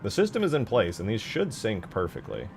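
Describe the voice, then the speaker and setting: Man, low voice, casual game-modding commentary, thinking out loud, informal and sweary, music in the background. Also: huge pitch swings, fairly quick, vocal fry. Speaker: game modder, channel host. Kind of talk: casual screen-share commentary while working. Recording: music behind the voice.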